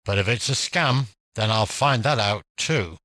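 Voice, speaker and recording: A man's voice: lowish voice, a little slow, pushed and bright, no room sound, voice pushed up. A man voicing a sceptical, determined ghost investigator in an audio play, with a musing, resolute, matter-of-fact delivery. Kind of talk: audio-play character dialogue.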